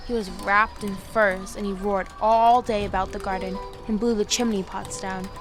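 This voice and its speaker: An actress playing a gentle young woman who reads a fairy tale aloud in an audio drama, measured and earnest. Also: mid-range voice, fairly even intonation, lightly acted. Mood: earnest storytelling. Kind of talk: storybook narration in an audio drama. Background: music.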